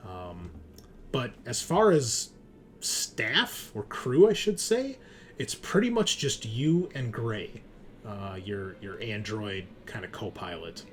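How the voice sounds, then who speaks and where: Guy game mastering a sci-fi tabletop game, lowish voice, talks right to the players, bit of background noise. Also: big pitch swings, slow pace. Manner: explanatory, addresses players directly. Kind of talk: narrating a tabletop roleplaying game, conversational.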